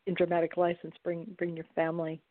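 A woman taking part in a casual group discussion, talking in a measured, considered way. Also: on the low side, monotone, no echo. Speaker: radio play cast member. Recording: dry, thin phone-like call audio.

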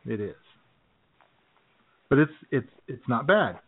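A man co-hosting a radio comedy show in casual banter, jokey and a little halting, often repeating his phrases. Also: mid-range voice, husky. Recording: muffled phone-like internet radio audio.

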